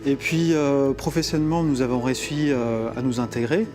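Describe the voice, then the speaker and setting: A man's voice: mid-range voice, brisk. A man who is a father, speaking composedly with frequent hesitations in a testimonial interview. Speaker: immigrant father.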